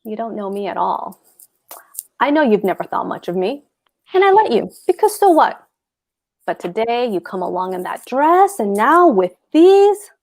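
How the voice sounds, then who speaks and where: A woman's voice: fairly high pitch, very animated, normal speed. A woman reading a smug, philandering married landlord in a play, speaking in a blunt, coaxing, suggestive way. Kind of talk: play table read.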